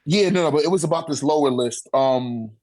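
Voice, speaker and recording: High-pitched man talking in casual slang. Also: a little fast, darkish tone. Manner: slangy, profane, informal. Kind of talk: casual debate about manga character rankings.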